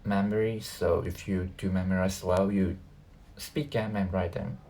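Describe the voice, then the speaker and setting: A man who natively speaks Cantonese, giving casual reaction commentary as a video host, haltingly thinking aloud. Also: low-pitched, fairly even intonation, gravelly, dark tone, slight background noise.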